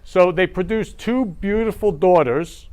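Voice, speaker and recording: A man's voice: sits high, a little slow, darkish tone, clean tone. A male host interviewing a guest, gushing and lavish with praise, often repeating his compliments. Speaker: show host. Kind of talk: effusive interview chat.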